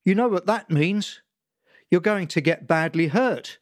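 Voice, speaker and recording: A man playing an angry, lecturing father who talks slowly and emphatically. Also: high voice, sing-song, dry recording, clean studio sound, voice pushed up. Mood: stern lecturing. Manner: emphatic, slow and pompous.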